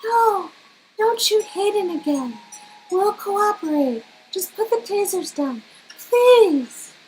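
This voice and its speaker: A woman's voice: extremely high pitch, lively intonation, takes it slowly, darkish tone, heavily acted, rough recording. A woman acting out a spirited, protective young female captive in a casual, emotive way, with noise behind her. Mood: pleading, defiant.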